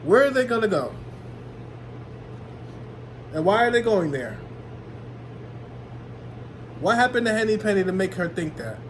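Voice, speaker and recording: Male storybook reader: high pitch, lively intonation, expressive, explanatory classroom delivery. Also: unhurried, pauses often, slight background noise. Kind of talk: children's read-aloud narration with questions to listeners.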